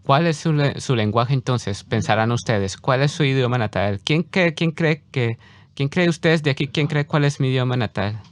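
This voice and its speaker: Man, church interpreter, live sermon translation, halting, filler-heavy, medium pitch.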